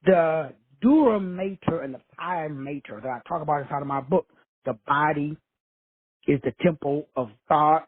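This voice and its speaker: A man's voice: fairly high pitch, moderate pace, slightly rough, no room sound. A man from Texas hosting a call-in show, preaching profane motivation with swagger and slang. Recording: tinny phone line, dry.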